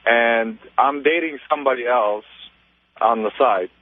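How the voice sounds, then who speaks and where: A man in his twenties calling a radio show, telling a story casually and a little haltingly. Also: medium pitch, no echo. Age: 20s. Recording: tinny narrow phone line.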